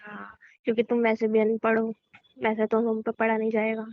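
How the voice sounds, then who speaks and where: A woman's voice: a little high, completely flat delivery, a little fast, close to an everyday voice. A woman playing a cheeky, stubborn young bride-to-be who gives curt, teasing replies in an intimate phone-call drama. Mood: cheeky, defiant. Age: young adult. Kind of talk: intimate phone-call audio drama, casual Hindi banter.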